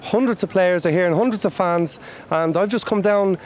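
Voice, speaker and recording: A man's voice: high-pitched, moderate pace. A man doing a comic street report out of doors, rambling and losing the thread of his question. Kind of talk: mock vox-pop reporting. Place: outdoors on a city street.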